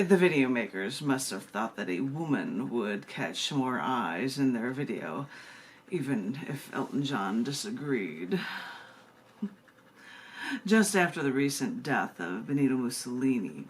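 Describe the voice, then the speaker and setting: A woman with an American accent, a poet presenting and reading her own work live, emphatic and informal by turns. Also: extremely low pitch. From United States. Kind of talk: live poetry reading with informal spoken introductions. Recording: noticeable background noise and room ambience.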